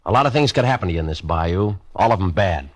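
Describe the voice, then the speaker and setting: A cagey lawman in an old-time radio mystery. His husky, fairly low voice rises and falls expressively, staying casual while quietly threatening. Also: expressive, quick pace. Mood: veiled menace. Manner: casual, veiled warnings, laconic. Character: sly, cagey male police inspector, quietly threatening.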